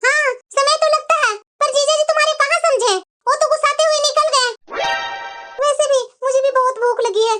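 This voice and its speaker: A woman voicing a chatty, sharp-tongued married daughter visiting her parents in a cartoon. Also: very high voice, brisk, soft background music. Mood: complaining. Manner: chatty, lecturing. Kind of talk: cartoon voice acting.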